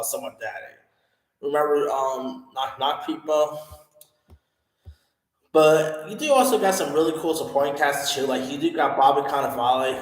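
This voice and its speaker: A man giving a casual, rambling film review, full of fillers and restarts. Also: mid-range voice. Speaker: movie reviewer. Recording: echoey room with long reverb.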